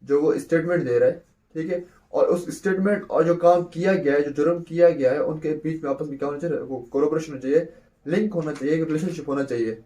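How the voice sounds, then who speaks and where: A man's voice: on the high side, fast talker, dark tone, far from the mic. A man teaching a law lecture, who repeats points and answers his own questions. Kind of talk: explanatory lecture, mixing in English terms.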